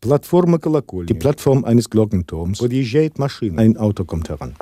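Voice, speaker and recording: A man's voice: lowish voice, very animated, fairly quick, dark tone. A man as the scene announcer of a radio spy drama, giving terse, clipped location announcements. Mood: matter-of-fact.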